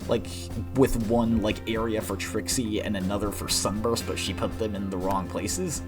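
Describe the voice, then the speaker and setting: A man's voice: fairly low pitch, steady tone, moderate pace, over music. A man hosting a casual fan fiction reading and reaction, talking in an informal, rambling, conversational way.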